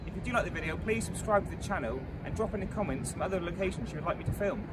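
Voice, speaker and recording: A man's voice: high voice, expressive, very fast, heavy background noise. A man in his thirties narrating a running vlog outdoors on a seaside promenade, chatting like a tour guide into an action camera. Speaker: running vlogger. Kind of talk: casual travel vlog narration. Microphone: action camera held or mounted while running. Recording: loud background music.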